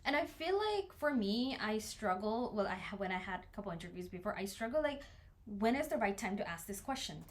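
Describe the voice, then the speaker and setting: A young woman from Nepal co-hosts a casual podcast, speaking English. She talks in a measured, thoughtful way and builds her points from her own experiences. Her voice sits at a middle pitch. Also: very animated. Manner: measured, explains with personal examples. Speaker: podcast co-host.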